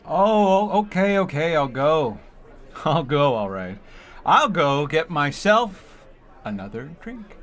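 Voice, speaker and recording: Drawling, drunken rich playboy. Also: male voice, a little high, big pitch swings, slow pace, fairly bright tone, heavily acted. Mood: tipsy, flippant. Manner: theatrical, languid, mock-posh drawl. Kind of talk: radio drama acting.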